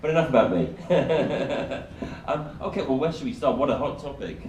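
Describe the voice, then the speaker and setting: A man's voice: medium pitch, lively intonation, normal speed, darkish tone. A man speaking on a convention panel, chatty and self-deprecating, often laughing. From United Kingdom. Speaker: panelist working on the show. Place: large convention hall. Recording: echoey hall, far mic, crowd noise.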